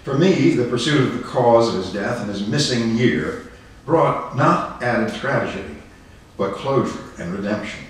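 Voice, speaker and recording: A man giving a dramatic reading of a written memoir at a public program, with measured, deliberate phrasing. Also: mid-range voice, expressive. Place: indoor arts center venue. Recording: roomy echoing hall, distant mic, faint music.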